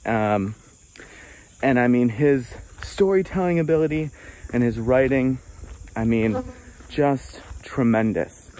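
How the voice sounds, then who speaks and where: A man's voice: mid-range voice, takes it slowly, pauses often, warm tone, rough recording. Rambling man talking on a forest walk. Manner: rambling, musing, many fillers and restarts. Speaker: vlogger answering viewer questions. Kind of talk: casual walking vlog. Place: outdoors on a wooded forest trail. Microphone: phone at arm's length while walking.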